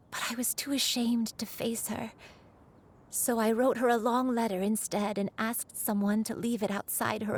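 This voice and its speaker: An actress voicing a gentle elderly grandmother who is secretly a crane spirit, speaking in a soft, often half-whispered, remorseful way. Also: fairly high pitch. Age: older. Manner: measured, remorseful storytelling. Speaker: game character. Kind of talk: video game cutscene voice acting.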